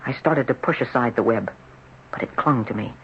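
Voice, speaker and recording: A man's voice: mid-range voice, normal speed, fairly bright tone, lightly acted. An actor playing an anguished, jealous young surfer trapped in a rich man's body, telling his story confessionally in old-time radio drama style. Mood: tormented. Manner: dramatic, confessional, swinging from musing to agitated. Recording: lo-fi noisy vintage radio drama recording.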